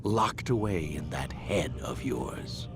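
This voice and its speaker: An actor playing a cold, ruthless older scientist in a video game, speaking in a measured, formal, lecturing way. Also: male voice, a bass voice, expressive, over music, full character voice. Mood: cold, menacing. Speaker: scientist in charge.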